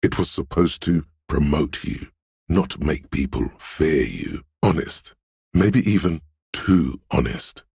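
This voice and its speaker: Game role: a slick hustler living in someone's head; extremely low, husky, knowing and coaxing. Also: male voice, lively intonation, slow, a little mellow, dry recording, studio quality, full character voice. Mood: dry reproach. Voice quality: very deep, gravelly, husky. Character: inner voice of slick hustling vanity, suave money-minded male. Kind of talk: video game voice acting, second-person inner monologue.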